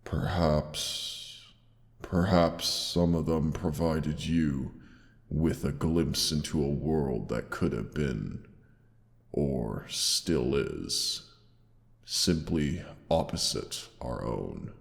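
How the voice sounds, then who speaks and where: Caring dragon lover, gravelly, unhurried, archaic and tender, long reverb, audio roleplay. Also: male voice, full character voice. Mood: gentle, reflective. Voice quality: very deep, gravelly. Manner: slow, archaic, tender endearments. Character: caring old-world male dragon, tender protective lover. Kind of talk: intimate audio roleplay acting.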